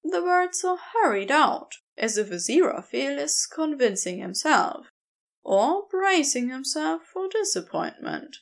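A woman's voice: high-pitched, sing-song, measured pace, vocal fry. A woman narrating a story as an audiobook, reading expressively from a script.

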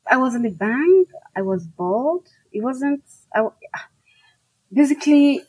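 A woman's voice: high voice, animated, slow pace, darkish tone, breathy edge, poor audio. A woman from Nigeria, a native Igbo speaker with a Nigerian accent, answering as a writer in an emphatic, conversational way. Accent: Nigerian Igbo-language. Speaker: fiction writer being interviewed. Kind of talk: conversational, reflective interview answers.

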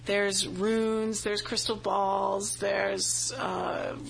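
Chatty Boston woman, gravelly voice. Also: medium pitch, flat delivery, extremely slow, rough recording. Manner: chatty, laughs often, enthusiastic. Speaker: tarot reader and medium. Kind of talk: casual live interview.

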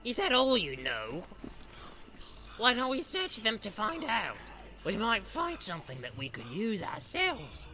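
A man's voice: high-pitched, wildly swooping pitch, unhurried, pitched above the performer's own voice, exaggerated voice. A man voicing a greedy, cunning male orc grunt. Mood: scheming. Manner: grasping, cunning.

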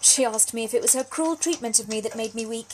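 A woman's voice: fairly high pitch, quick pace, a little hiss or hum, close to an everyday voice. A woman playing a genteel, frank young Victorian letter writer in an audio drama monologue, speaking with formal, precise diction. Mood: confiding. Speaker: actor reading a solo audio drama. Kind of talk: period monologue, letters read aloud.

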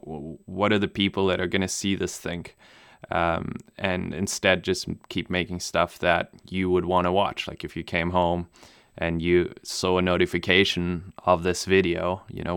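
A man with a very low, smooth, resonant voice, recorded close and dry. He grew up in Namibia, and German is his first language. He talks casually and haltingly, with lots of ums and restarts, and laughs easily. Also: fairly bright tone, dry recording. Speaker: pilot and video maker. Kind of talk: casual interview answers.

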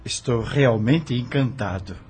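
An actor playing a young doctor, overly courteous and insistent, with a husky voice in a radio soap. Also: male voice, medium pitch, unhurried, a little mellow, faint music behind, close to an everyday voice. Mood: courtly, insistent.

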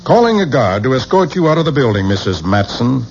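An actor playing a suspicious, pompous museum official in a 1970s radio drama, speaking formally and with cold indignation. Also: male voice, medium pitch, big pitch swings, a little fast, darkish tone. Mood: cold, stern. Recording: muffled, noisy 1970s radio broadcast.

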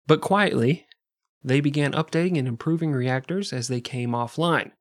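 A man's voice: medium pitch, normal speed. A man hosting a comedy history podcast, telling the story in a loose, profane, jokey way and laughing often. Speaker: podcast host.

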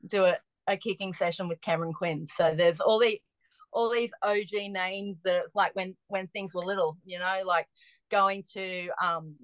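A woman, chatting as a podcast guest and telling lively stories with frequent restarts. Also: mid-range voice, normal speed, no room sound, lo-fi sound. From Australia. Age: 40s. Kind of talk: casual chat.

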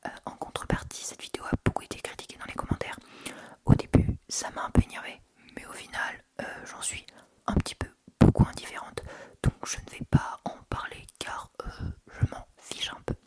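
Whispering ASMR woman. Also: fairly quick. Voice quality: unvoiced, breathy whisper. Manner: informal, chatty, intimate. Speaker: ASMR video creator and host. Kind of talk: ASMR, casual whispered chat to viewers. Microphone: sensitive Tascam recorder without windscreen, close up.

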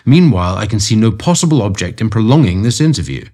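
A man's voice: fairly low pitch, lively intonation, fast talker, warm tone, husky. A man reading aloud voices a big, pompous country clergyman who speaks stiffly and indignantly. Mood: stern.

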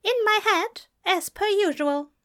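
A woman's voice: extremely high pitch, measured pace, a bit bright, pitched above the performer's own voice. A woman voicing a prim, fussy male angel in an audio story, with a proper, fluttery manner. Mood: feigned innocence. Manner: fluttery, proper, hesitant when flustered.

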